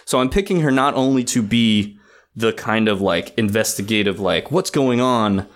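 A man's voice: lowish voice, moderate pace. A man co-hosting a casual film discussion, earnest and thinking aloud as he goes. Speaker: podcast co-host.

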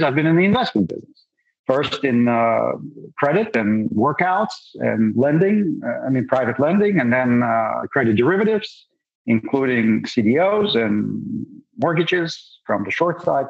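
A husky-voiced man in his sixties talks with a Russian accent, working through his explanation in a measured way, pausing on fillers and restarting phrases. His voice comes through a somewhat muffled, lo-fi line. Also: mid-range voice, lively intonation. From Soviet Union. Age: 60s. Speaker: guest, gold investor. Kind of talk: podcast interview.

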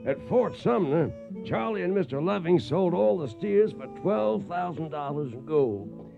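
Brief: folksy trail-hand narrator, high lively voice, guitar music behind, radio drama. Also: male voice, unhurried, creaky, heavily acted. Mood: folksy, reflective. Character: folksy cowboy storyteller recounting trail days. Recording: dull old radio recording.

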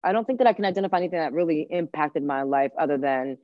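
Woman guest chatting casually, lowish voice, really emphatic, dry muffled lo-fi sound. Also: animated, racing, no echo. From born in Cuba, grew up in Washington D.C. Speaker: interview guest, chef. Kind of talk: casual conversational interview.

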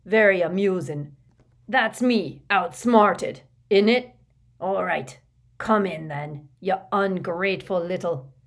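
A woman voicing a huge, gruff, grumpy male groundskeeper in an audiobook, loud and growling, with blustering, sarcastic, rustic speech. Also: fairly low pitch, sing-song, very slow, heavily acted. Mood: sarcastic grumbling.

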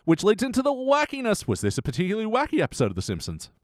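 A man's voice: high-pitched, huge pitch swings, rapid-fire, darkish tone, no echo, studio quality. A man hosting a casual review podcast about a TV cartoon, chatting in a sweary, bantering way. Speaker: podcast host.

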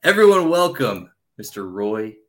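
A man's voice: lowish voice, sing-song, measured pace, a bit bright. A man in his thirties hosting a podcast, talking in an enthusiastic, slangy, rambling way. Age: 30s. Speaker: podcast host. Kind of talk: casual chat.

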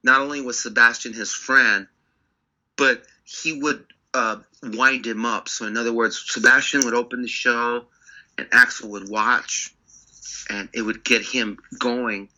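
A man, a guest on an interview, telling studio stories in a casual, animated way with short insistent bursts. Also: mid-range voice, measured pace, lo-fi sound. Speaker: record producer guest.

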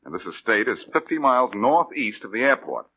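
An actor playing a breezy, wry, self-assured amateur detective in a 1940s radio crime drama. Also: male voice, mid-range voice, big pitch swings, fairly quick. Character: confident wisecracking amateur detective hero. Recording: noisy tinny old radio recording.

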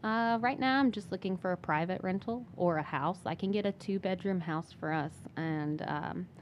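A woman's voice: fairly low pitch, moderate pace, lo-fi sound, slight background noise. A young woman speaking casually and personally as a guest in an interview.

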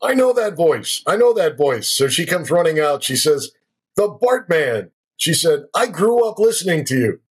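A man's voice: fairly high pitch, very animated, normal speed, slightly rough. An older man, a former radio broadcaster, telling stories from his life as an interview guest in a chatty, folksy way. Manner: chatty, folksy, checks in with "right?". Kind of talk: conversational storytelling. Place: local to the show's town.

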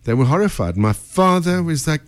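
A man's voice: fairly high pitch, sing-song, moderate pace. A middle-aged man hosting an interview, asking rambling questions in a jokey, chatty way. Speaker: host, radio presenter. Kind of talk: casual interview talk.

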